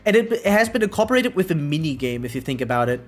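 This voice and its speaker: A woman's voice: low voice, sing-song, fast talker. A woman doing casual livestream commentary as a game streamer, emphatic and opinionated, speaking informally with slang.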